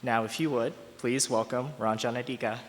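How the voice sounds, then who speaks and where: A man at a university event reads a formal introduction of the evening's speaker. He speaks at an ordinary pitch in an even, formal way, and a long hall reverb trails behind his words. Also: moderate pace, very noisy. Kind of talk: read introduction. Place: lecture hall.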